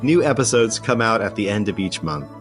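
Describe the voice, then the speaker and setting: A man hosting a podcast, narrating in a relaxed, conversational and slightly wry storytelling style. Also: on the low side, fairly quick. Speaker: podcast host and producer. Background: music.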